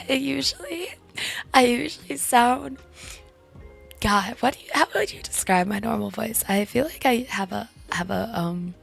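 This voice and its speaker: A young woman chats with her viewers in a slow, unhurried way, her voice hoarse, gravelly and breathy after losing it. She giggles often and slides into whispers, with faint music under her. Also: fairly high pitch, a bit bright. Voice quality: raspy, breathy. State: hoarse, lost voice. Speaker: streamer. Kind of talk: casual chat with viewers.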